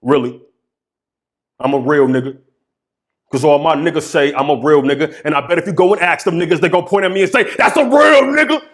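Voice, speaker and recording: Man chanting poetry, hushed to shouting. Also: on the high side, brisk. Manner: rhythmic, incantatory delivery with chanted repetitions; sweeping dynamics from hushed murmurs to shouts. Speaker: poet. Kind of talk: performed poetry reading.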